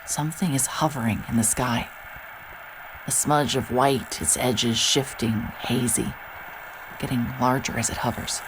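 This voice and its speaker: Very low-voiced, wry female detective. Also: steady tone, a little slow, noisy background. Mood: tense, absorbed. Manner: muttering to self, wry, terse. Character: hard-bitten female private investigator, wary and sardonic. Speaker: lead character and narrator. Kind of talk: cinematic horror audio drama, first-person noir narration.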